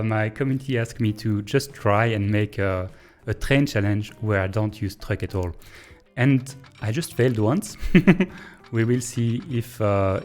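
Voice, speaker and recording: A man with a French accent, a native French speaker, thinking aloud in a hesitant, pause-filled way as he streams and comments on a train-building game. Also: lowish voice. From Belgium. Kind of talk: casual live-stream gameplay commentary.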